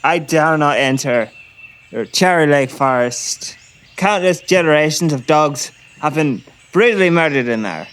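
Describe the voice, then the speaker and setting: A man's voice: fairly high pitch, animated, unhurried, fairly bright tone, clean tone. A man voicing a fearful, theatrical talking dog in a comedic audio drama, full of pompous protest. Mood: frightened, defiant.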